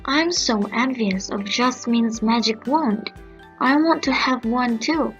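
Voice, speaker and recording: Envious young storybook fairy played by a woman, high voice, slow delivery, lazy schemer, dull audio. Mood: envious, eager. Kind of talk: storybook character voice. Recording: muffled narrow-band audio under music.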